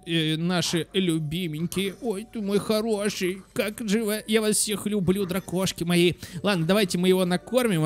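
High-voiced man chatting through a game. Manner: excitable, affectionate baby talk with diminutives. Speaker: gaming video host for children. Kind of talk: casual gameplay commentary.

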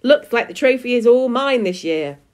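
An excitable, blustering dad from a children's story, put on by a woman narrator. He is scornful and competitive, with pitch that jumps around a lot. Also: moderate pace, lightly acted. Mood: gloating.

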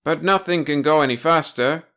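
Dim-witted alien crewman, played by a man, meek and protesting, pitched up a bit, comic space story. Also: moderate pace, a bit bright. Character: dim, loathsome male Martian-Texan alien sidekick, meek. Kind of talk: comic pulp sci-fi audiobook.

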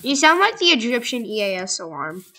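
A young woman playing a block-building game with a friend, chatting casually, excitable and insistent, dropping to murmurs while she plays. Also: on the high side, brisk. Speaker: gamer. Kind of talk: casual let's play chat.

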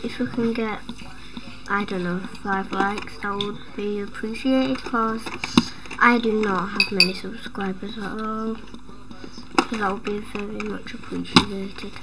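A woman's voice: medium pitch, fairly even intonation, unhurried, very noisy. A woman hosting her own gaming videos and losing her voice, rambling through casual gameplay commentary with sudden startled exclamations. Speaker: gaming channel host.

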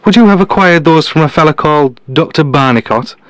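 Man playing a deadpan, cocky detective, dry sound. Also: fairly high pitch, fairly quick, no room sound. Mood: cocky, teasing. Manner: deadpan, matter-of-fact. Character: cocky, teasing male detective genius. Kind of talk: podcast-style audio drama dialogue.

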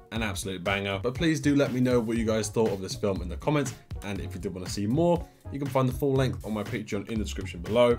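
Young British reaction host, chatty and excitable, lively range, headset mic, faint music bed. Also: male voice, fairly low pitch. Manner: excitable, laughs often, chatty. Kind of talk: casual reaction commentary. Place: small bedroom studio.

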